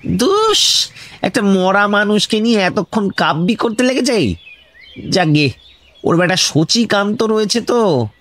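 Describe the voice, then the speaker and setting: An actor playing a pushy, impatient investigator in a comic radio drama, questioning insistently and self-importantly with a West Bengal accent. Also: male voice, high-pitched, sing-song, unhurried, fairly bright tone, exaggerated voice. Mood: exasperated. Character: pushy, impatient male investigator grilling a villager. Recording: music behind the voice.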